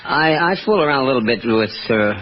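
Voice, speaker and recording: A man in his late forties from Pennsylvania, answering in a hesitant, mild, unhurried way as a radio quiz contestant. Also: medium pitch. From Pennsylvania, United States. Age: late 40s. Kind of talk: 1940s radio interview. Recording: muffled 1940s radio recording, faint noise.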